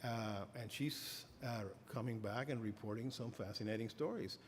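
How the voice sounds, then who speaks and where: A middle-aged man giving an academic lecture as a professor, halting with many fillers and restarts. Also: mid-range voice, slight background noise. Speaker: professor giving a keynote address. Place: lecture hall. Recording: reverberant hall, faint ambience.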